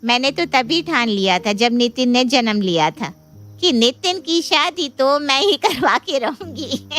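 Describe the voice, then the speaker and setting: A bustling younger-sister matchmaker, played by a woman pitches her case in a high voice over faint music. She is boastful and persuasive, gushing through storybook dialogue. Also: measured pace. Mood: eager. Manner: gushing, persuasive, animated phrasing. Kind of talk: dramatised Hindi moral story dialogue.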